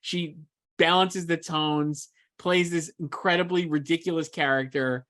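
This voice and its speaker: A man's voice: on the high side, steady tone, unhurried, a bit bright. A man co-hosting a casual entertainment discussion, giving emphatic, opinionated takes in an enthusiastic manner. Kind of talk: casual film awards chat.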